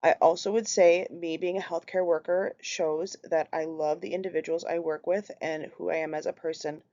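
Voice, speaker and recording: Low-voiced Minnesotan woman reading a presentation. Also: fairly even intonation, normal speed, dark tone. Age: 30s. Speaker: student presenter. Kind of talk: read class presentation. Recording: slightly muffled lo-fi recording.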